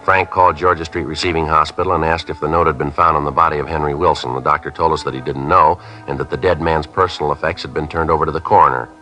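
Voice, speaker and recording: An actor playing a calm, methodical police detective, terse and deadpan, in 1950s radio style. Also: male voice, a bass voice, fast, hardly any pauses, faint music behind, rough recording. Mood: matter-of-fact. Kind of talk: 1950s radio acting, clipped dialogue and narration. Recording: 1950s radio drama recording.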